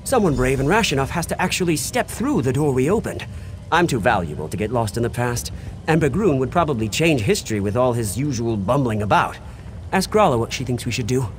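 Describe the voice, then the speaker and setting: An actor playing a haughty, self-important mage scholar in a video game, dismissive of others. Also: male voice, medium pitch, big pitch swings, a little fast, a little hiss or hum, close to an everyday voice.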